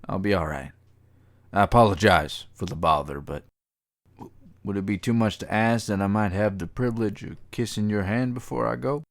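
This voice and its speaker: Deep-voiced gallant cowboy, played by a man. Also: gravelly, no echo, studio quality. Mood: gracious disappointment. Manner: folksy, courteous, halting. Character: earnest, humble, gallant young American cowboy suitor. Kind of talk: audio drama dialogue.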